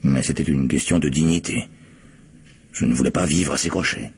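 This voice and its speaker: A man playing a proud, modest physicist, an estranged husband answering questions in a measured, hesitant way in a French radio crime drama. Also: rumbling low, a little mellow, breathy edge, exaggerated voice. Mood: wounded pride.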